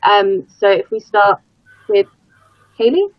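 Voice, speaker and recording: A woman's voice: mid-range voice, very animated, takes it slowly, dry recording. A woman hosting a casual livestream panel over a video call, chatty and self-deprecating. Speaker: livestream host. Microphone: computer mic on a video call. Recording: dull, lo-fi.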